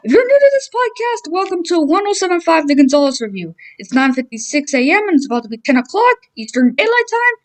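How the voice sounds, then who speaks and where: A woman's voice: extremely high pitch, very animated, fast, few pauses. A woman playing a chatty, upbeat morning radio host who introduces songs and talks casually to listeners. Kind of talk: radio DJ patter.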